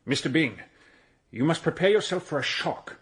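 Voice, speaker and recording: An actor playing a grave, formal doctor in a 1920s murder mystery radio play. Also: male voice, on the high side, expressive, normal speed, a bit bright.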